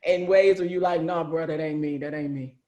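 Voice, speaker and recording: A woman's voice: sits low, steady tone, a little fast, warm tone, dull audio. A woman hosting an informal group chat on a video call, telling stories casually and often checking in with listeners. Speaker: host and series writer. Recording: roomy echo over video call.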